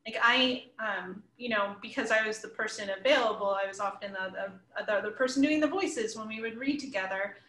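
Woman in her 30s chatting on a video call, bright sharp voice, lots of room echo. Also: medium pitch, brisk, hardly any pauses. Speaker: comics creator and panel guest. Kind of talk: casual panel chat. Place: indoors at home, room with bookshelf, video call. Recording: echoey room, shared mic.

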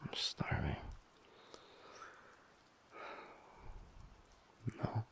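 A man playing a teasing, affectionate werewolf boyfriend in an intimate audio roleplay, with a breathy, gravelly murmur and a playful mock-stern manner. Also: moderate pace, fairly bright tone, in a whisper, close to an everyday voice. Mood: relaxed, affectionate.

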